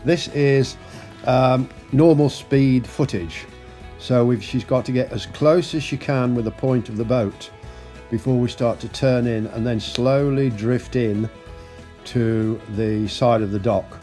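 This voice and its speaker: A man casually narrating his boat's arrival at a marina, relaxed and full of ums and uhs. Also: mid-range voice, measured pace, faint music behind. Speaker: sailing vlogger. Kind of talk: casual narration over footage.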